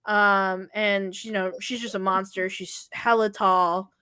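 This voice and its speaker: A woman, co-hosting a casual sports podcast, slangy and quick to swear, a little wheezy. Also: mid-range voice, flat delivery, sharp tone, clean tone, no echo. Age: 20s. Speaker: podcast co-host.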